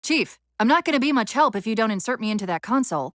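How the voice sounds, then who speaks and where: A high, lively female AI companion from a sci-fi video game, played by a woman. She gives crisp military commands and briefings with brisk, wry urgency, her pitch moving freely, recorded very dry and close. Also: no echo, lightly acted. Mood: brisk, focused.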